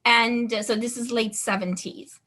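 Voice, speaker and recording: A middle-aged woman from Iran whose first language is Farsi talks through her life story as a podcast guest. Her voice is bright and clear, and she tells the story with lively energy, stopping now and then to clear her throat. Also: fairly high pitch, normal speed, a little hiss or hum. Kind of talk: personal storytelling in an interview.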